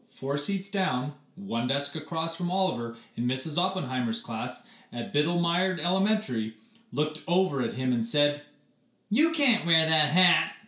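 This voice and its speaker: Animated man reading a storybook, echoey room. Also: mid-range voice, recorded from a distance. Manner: dramatic, deliberate pauses and emphasis. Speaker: storybook reader. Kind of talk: expressive children's read-aloud narration. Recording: echoey room, away from the mic.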